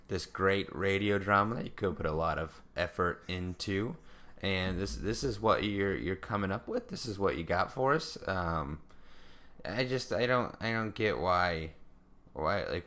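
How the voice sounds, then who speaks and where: A man's voice: low-pitched, bright, forceful tone. A young man chatting casually as a podcast co-host, jokey, informal and self-deprecating. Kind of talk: casual comedic chat.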